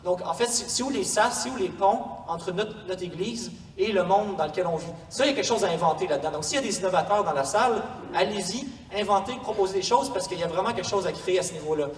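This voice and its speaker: A Quebec-accented man speaks quickly in a slightly high voice, recorded from a distance in a reverberant hall with faint noise. He presents informally to a workshop, explaining things in a conversational way. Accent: Quebec French. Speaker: parish pastoral coordinator, workshop speaker. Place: large echoey room before an audience.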